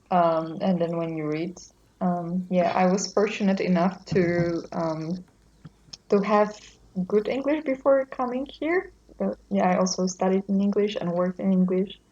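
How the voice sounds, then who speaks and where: A woman from Europe, a podcast guest, talking casually and thoughtfully, often pausing and hedging. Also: lowish voice, a little mellow, a little hiss or hum. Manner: thoughtful, hesitant, with many fillers and restarts. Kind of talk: casual conversation. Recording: dull lo-fi sound.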